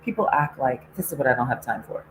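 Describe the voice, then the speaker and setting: A woman with a notably low voice rants in a loose, sarcastic monologue, laughing between jabs and swearing freely. Faint noise sits under the recording. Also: lively intonation, quick pace, low-quality recording. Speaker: online show host. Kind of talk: casual ranting monologue.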